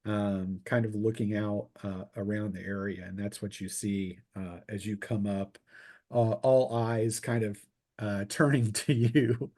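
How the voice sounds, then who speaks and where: Halting man running a tabletop game. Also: lowish voice, darkish tone. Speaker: game master. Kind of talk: improvised tabletop game narration.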